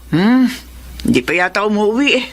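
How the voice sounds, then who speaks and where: An actress playing a middle-aged widowed mother who gently nags her daughter to rest and eat, in an audio drama. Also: medium pitch, big pitch swings, moderate pace, natural voice. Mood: caring. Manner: gentle, nagging. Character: widowed mother, caring and fussy.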